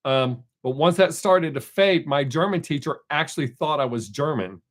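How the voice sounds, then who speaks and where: A man's voice: fairly high pitch, expressive, normal speed. A middle-aged man, hosting a casual live stream and chatting with viewers. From American South. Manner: chatty, rambling, reads chat aloud. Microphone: desk microphone.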